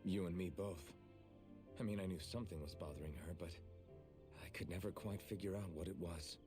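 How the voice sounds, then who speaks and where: An actor playing a steady, caring young male swordsman in a video game, with a calm, reflective manner. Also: sits low, fairly quick, close to an everyday voice. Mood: reflective, regretful. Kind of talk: video game English voice acting. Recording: music behind the voice. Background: game music.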